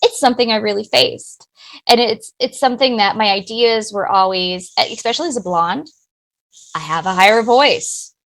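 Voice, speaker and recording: Talkative woman with a gravelly voice. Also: a little high, normal speed. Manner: personal, talkative, warm-hearted. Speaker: business owner, guest. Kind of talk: interview answers.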